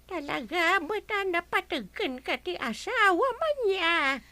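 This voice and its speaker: A woman with an Ilocano accent playing a nagging, quarrelsome old aunt in an audio drama, with a drawn-out, grumbling delivery. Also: very high-pitched, big pitch swings, sharp tone, dry recording, full character voice. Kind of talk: Ilocano radio drama acting.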